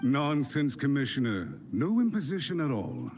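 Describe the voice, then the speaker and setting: An actor playing a formal, polite retainer of a noble house in a game. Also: male voice, medium pitch, huge pitch swings, normal speed, darkish tone, slight background noise. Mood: courteous. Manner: formal, polite, eager to please. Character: deferential male clan retainer, formal. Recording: game audio with music underneath. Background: game music.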